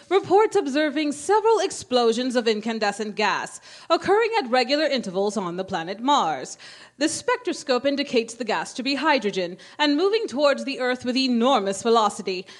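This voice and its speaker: A woman playing a formal 1930s news announcer reading scripted bulletins in a radio play. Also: high-pitched.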